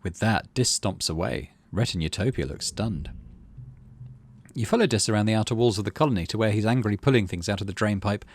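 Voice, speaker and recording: A man's voice: on the low side, brisk. A man hosting a gaming channel, narrating a visual novel playthrough aloud.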